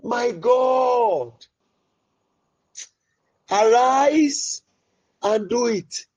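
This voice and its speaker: A man leading an impassioned, pleading prayer, swinging between loud cries and low murmurs. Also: lively intonation, drawn-out words, muffled recording. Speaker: prayer leader. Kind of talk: fervent spoken prayer.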